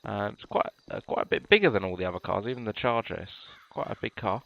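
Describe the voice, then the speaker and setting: A man's voice: lowish voice, lively intonation, fast, vocal fry, dry recording, dull audio. A British man with a stuffy head cold, casually walking viewers through police cars in a game, rambling with many ums and restarts. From United Kingdom. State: congested from a cold. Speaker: gamer showing police cars. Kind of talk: casual gameplay walkthrough.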